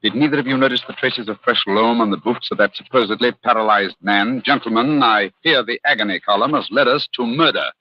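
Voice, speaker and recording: An actor playing an incisive, commanding English gentleman detective with a British accent in a 1940s radio drama, clipped and theatrical. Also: medium pitch, a little fast, few pauses, a little hiss or hum, close to an everyday voice. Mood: grave. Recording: dull, dry old radio recording.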